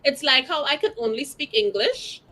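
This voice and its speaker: A woman's voice: sits high, lively intonation, normal speed, sharp tone, slight background noise. A woman hosting an interview, asking questions in a bubbly, enthusiastic manner. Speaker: interviewer, host.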